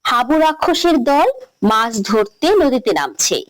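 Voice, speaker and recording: A woman's voice: on the high side, very animated, a little slow, slight background noise, low-quality recording. A woman narrating a scripted children's story. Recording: music behind the voice.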